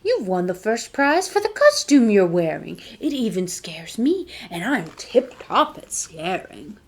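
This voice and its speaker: A woman voicing a big, hairy, friendly male monster in a children's storybook, jovial and excited. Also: medium pitch, a little slow. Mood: jovial, admiring.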